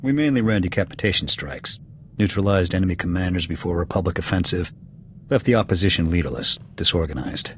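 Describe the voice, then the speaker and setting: Low voice, blunt veteran sniper officer, clipped military talk, faint ambience. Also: male voice, lively intonation, a little fast, a little hiss or hum. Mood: matter-of-fact. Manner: clipped, matter-of-fact military report. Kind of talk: video game cutscene acting.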